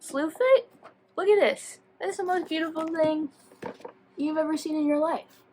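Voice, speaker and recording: A woman with a fairly high voice talks in a casual, chatty vlog style. She rambles and pokes fun at herself, drifting into tangents. A faint layer of room noise sits behind her. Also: moderate pace, darkish tone. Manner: rambling, self-deprecating, tangential. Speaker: book reviewer vlogger. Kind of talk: casual chatty video talk.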